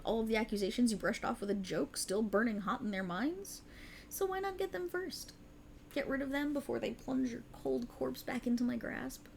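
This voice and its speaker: Girl doing a cruel dark deity for asmr roleplay, highish creaky voice, taunting and snooty. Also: slight background noise. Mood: insinuating.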